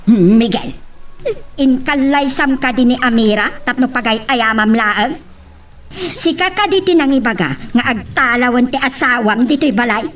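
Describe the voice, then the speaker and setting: A woman's voice: a little high, normal speed, a bit bright, lightly acted. A woman playing a stern, protective mother in an Ilocano audio drama, with an Ilocano accent. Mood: stern, concerned. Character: stern, protective mother scolding a young couple. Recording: distant mic with faint noise.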